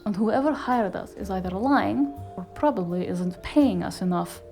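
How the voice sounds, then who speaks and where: A woman playing a sharp, street-savvy, exasperated fixer in a cyberpunk noir audio drama, sardonic and emphatic. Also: medium pitch, animated, moderate pace, creaky, soft background music. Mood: wary, analytical.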